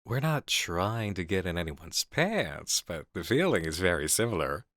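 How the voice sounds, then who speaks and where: A man playing an intense, seductive horror game designer in an intimate ASMR roleplay, speaking in deliberate, drawn-out phrases on a close mic. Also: deep voice, big pitch swings, fairly bright tone, no room sound, exaggerated voice. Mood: dark, persuasive. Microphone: close studio mic.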